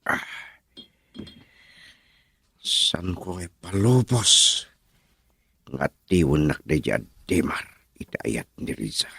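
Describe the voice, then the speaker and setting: Jealous radio-drama rival: very low, gravelly, slow brooding muttering that slips into whispers. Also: male voice, big pitch swings, pushed and bright, no room sound, heavily acted.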